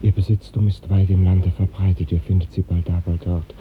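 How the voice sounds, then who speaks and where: A man plays a dark, aristocratic knight, very low in pitch, formal and mocking. The speech is measured and courtly in the manner of vintage radio drama. It sounds muffled and narrow, with a faint hiss. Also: flat delivery, natural voice. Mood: distracted. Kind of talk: 1950s German radio drama acting. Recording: dull 1950s radio recording, clear hiss.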